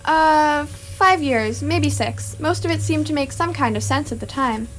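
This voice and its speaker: Put-upon, exasperated everyman acted by a woman, very high voice, dry sarcasm, radio play. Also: unhurried. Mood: bewildered, resigned. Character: bewildered, indignant ordinary Englishman. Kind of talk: amateur radio theatre acting.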